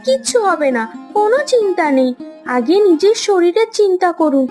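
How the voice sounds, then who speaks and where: A woman playing a kind, outspoken young city woman in a cartoon, caring and brisk. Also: extremely high pitch, big pitch swings, darkish tone, faint music behind. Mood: reassuring. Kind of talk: Bengali cartoon story acting.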